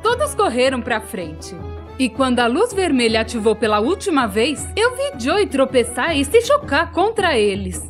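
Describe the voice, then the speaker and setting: A woman with a Brazilian accent narrating a scripted story in an expressive, conversational way. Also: high-pitched, moderate pace, soft background music. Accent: Brazilian Portuguese. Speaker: storytelling narrator in a dubbed animation.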